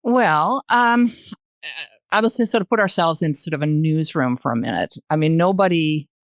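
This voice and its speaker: A Canadian woman in her sixties speaking as a podcast guest, explaining things in a measured, thoughtful way. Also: low-pitched, animated, no room sound, clean studio sound. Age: 60s. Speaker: podcast guest, retired journalist. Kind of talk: thoughtful conversational discussion.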